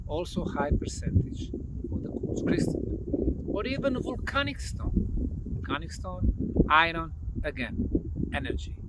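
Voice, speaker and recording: A man presents outdoors through loud wind noise. His voice sits a little high and swings widely in pitch. He explains things in short, emphatic, deliberate phrases. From Bosnia. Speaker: presenter and field researcher. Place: outdoors in an open field.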